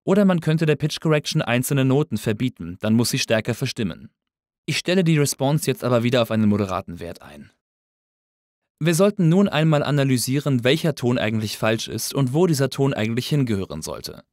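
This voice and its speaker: A man narrating a scripted tutorial as an instructor, explaining things clearly and methodically. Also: medium pitch, very animated, fairly quick, a little mellow, dry recording, studio quality. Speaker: audio engineering instructor.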